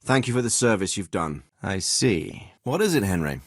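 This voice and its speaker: An actor playing a middle-aged noble lord and commander in a video game, with a measured, formal and paternal manner. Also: male voice, on the low side, very animated, no echo, clean studio sound. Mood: matter-of-fact. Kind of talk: acted video game dialogue.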